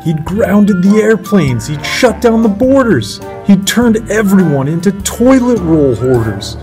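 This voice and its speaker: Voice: mid-range voice, sing-song, a little slow, over music. A person reading a rhyming story aloud as narrator. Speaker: story reader.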